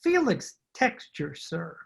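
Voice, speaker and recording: A woman's voice: fairly low pitch, sing-song, takes it slowly, gravelly, breathy edge, heavily acted. A woman playing a thin, obsequious male rug merchant in a radio drama, speaking with formal, humble, ingratiating patter. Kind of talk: old-time radio drama acting.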